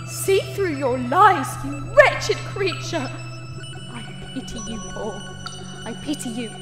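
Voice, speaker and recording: A high, echoing voice of an enslaved maid, played by a woman, plaintive yet growing defiant. She speaks haltingly and earnestly, as in a horror audio drama, with music clearly behind her. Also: close to an everyday voice. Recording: echoey voice under music.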